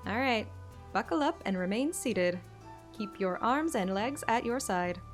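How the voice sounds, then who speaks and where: A woman's voice: fairly high pitch, measured pace. An actress playing a playful, plucky young woman hunter, narrating and voicing a first-person game story expressively. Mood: playful, teasing. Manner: expressive, teasing. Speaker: player-character narrator. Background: background music.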